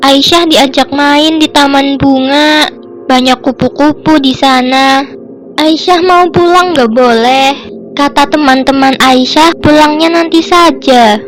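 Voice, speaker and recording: A woman's voice: high-pitched, steady tone. A sweet little girl. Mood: telling. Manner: slow, childlike, plaintive.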